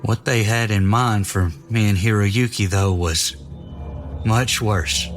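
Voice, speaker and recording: A young soldier haunted by what he has seen, a fanatic with a lowish voice. He speaks gravely and deliberately, like a horror drama confession, with clear music running underneath. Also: steady tone. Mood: grim recounting. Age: young adult.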